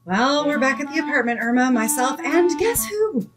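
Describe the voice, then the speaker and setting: A woman playing an exasperated, sensible young roommate who narrates an old-time radio sitcom in a wry, expressive way. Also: high-pitched, normal speed, loud music behind the voice. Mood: wry, confiding.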